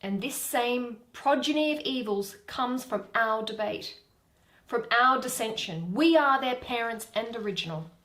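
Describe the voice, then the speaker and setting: A woman voicing a proud, indignant fairy queen in measured, declamatory Shakespearean verse. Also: on the high side, expressive, fairly bright tone, reverberant. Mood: reproachful.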